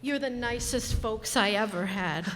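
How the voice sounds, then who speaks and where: A wistful, polite boy in a radio drama, acted by a woman. The voice is fairly high and sounds older in spirit than a child. It echoes around a large hall. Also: a little slow, slight background noise, lightly acted. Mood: gentle, earnest. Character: wistful, innocent-seeming boy, polite, old beyond his years. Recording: echoey hall, long reverb.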